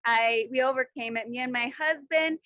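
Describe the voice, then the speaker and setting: A woman in her thirties sharing a personal testimonial on a video call, affirming and halting with fillers. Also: sits high, a bit bright, no echo. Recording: dry, dull lo-fi sound.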